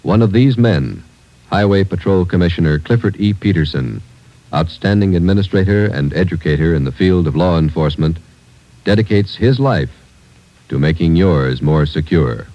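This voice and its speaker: A man's voice: sits low, animated, normal speed. A man reading solemn formal announcements in 1940s radio style. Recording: dull old radio recording.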